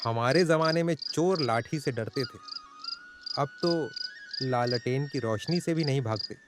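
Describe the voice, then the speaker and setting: A man's voice: mid-range voice, measured pace, noisy background, lightly acted. A man playing a proud, bossy middle-aged village farmer in a dramatized moral story, scolding and exclaiming in colloquial talk. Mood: stern.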